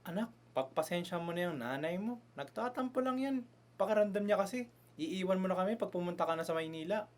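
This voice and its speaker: A woman voicing a calm, soothing, trusting father in an amateur radio drama, placating in manner. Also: lowish voice, a little fast, natural voice.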